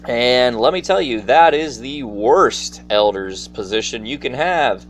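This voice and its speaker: Animated male storyteller with a theatrical, folksy delivery. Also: mid-range voice, measured pace, faint music behind. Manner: theatrical, folksy, addressing listeners directly. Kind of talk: casual personal storytelling monologue to an audience. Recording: faint background noise.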